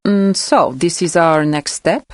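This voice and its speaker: Low-voiced, businesslike female military major, acted by a woman. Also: measured pace, no room sound, studio quality, natural voice. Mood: cool, obedient. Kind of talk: video game cutscene acting.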